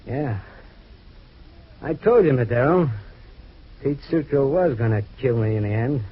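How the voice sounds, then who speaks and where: A haunted, desperate man in an old radio thriller, mid-pitched with huge swings. His delivery is anguished and halting, and the sound is muffled. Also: lively intonation, husky. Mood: weary, broken. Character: haunted, confused amnesiac husband, desperate man. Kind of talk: old-time radio drama acting. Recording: dull, noisy old radio recording.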